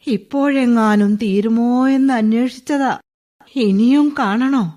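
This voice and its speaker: An actress playing an old bedridden mother in a radio play, speaking slowly in a husky, resigned voice. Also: a little high, a little mellow. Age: older. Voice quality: husky, slow and heavy. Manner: resigned, tearful at times. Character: old bedridden widowed mother, weary and bitter. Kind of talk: radio drama acting.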